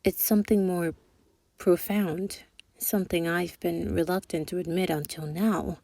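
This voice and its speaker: A young woman voicing a calculating female thief boss in an ASMR roleplay. She speaks softly and formally, dropping into whispers. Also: fairly low pitch, steady tone, creaky, dry recording. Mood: tender confession. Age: young adult. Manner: measured, precise, formal scolding.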